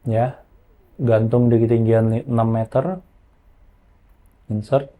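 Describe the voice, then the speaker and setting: A man explaining software in an informal, hands-on tutorial, using colloquial tags as he goes. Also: medium pitch, fairly even intonation, takes it slowly. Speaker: audio software trainer.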